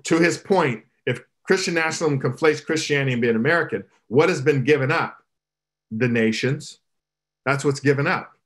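A middle-aged man, a pastor, speaking emphatically in an animated panel discussion over a video call from his home. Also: medium pitch. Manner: emphatic preaching cadence, gestures broadly. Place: home room, indoors. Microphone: video call, webcam from below.

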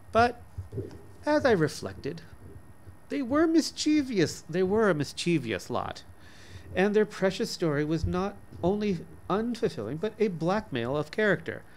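A man in his forties reading a short story aloud expressively, sometimes stumbling and rereading words. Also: fairly high pitch. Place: small office, indoors. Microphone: desk microphone in front of him.

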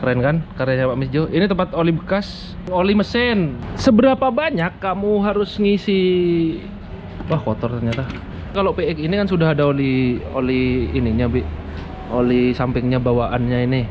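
Man explaining over clear street noise. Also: on the high side, normal speed. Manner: chatty, explaining as he shows things. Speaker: motovlogger. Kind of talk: casual how-to vlog chat. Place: outdoors beside a scooter. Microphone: action camera. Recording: muffled action-cam audio with clear traffic noise.

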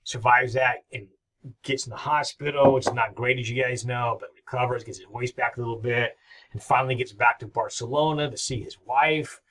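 A man's voice: medium pitch, fairly even intonation, fairly quick, fairly bright tone, slight background noise. Rambling, enthusiastic male history lecturer. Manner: rambling, enthusiastic, many fillers. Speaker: history professor. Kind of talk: recorded online lecture. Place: home office with bookshelves.

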